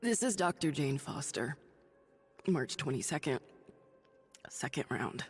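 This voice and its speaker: An actress playing an ailing, stubborn woman doctor turned hero in a video game drama, speaking in a halting, confiding but resolute way. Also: low-pitched, big pitch swings, in a large hall, faint music behind, heavily acted. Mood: tired, confiding. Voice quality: husky, breathy, creaky. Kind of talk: dramatic video game acting, audio log monologue.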